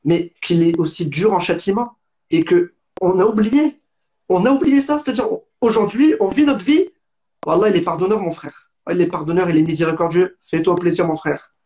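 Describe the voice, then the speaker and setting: A man's voice: sits high, moderate pace, darkish tone. A man in his twenties asking a long, rambling question and searching for his words. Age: 20s. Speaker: interviewer. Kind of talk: conversational religious discussion.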